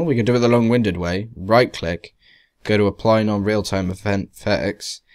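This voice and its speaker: A man giving an informal software tutorial in a conversational way, with wry, emphatic asides. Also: on the low side. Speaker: tutorial presenter. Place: voice-over to a screen capture.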